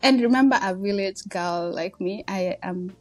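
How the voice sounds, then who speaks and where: A woman's voice: mid-range voice, normal speed, soft background music. A woman in her thirties with a Kenyan accent, a podcast guest talking casually and humbly about her life, with many fillers. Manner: warm, humble, chatty with frequent fillers and laughs. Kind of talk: casual interview storytelling.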